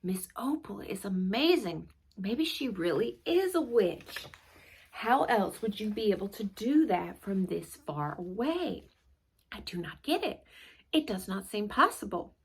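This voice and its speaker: An earnest young schoolboy from a storybook, as a woman reads him, wide-eyed and expressive. The voice sits at a mid pitch for a woman and has a noticeable creak. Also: measured pace, exaggerated voice, low-quality recording. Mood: amazed, puzzled. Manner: expressive, dramatic storybook reading.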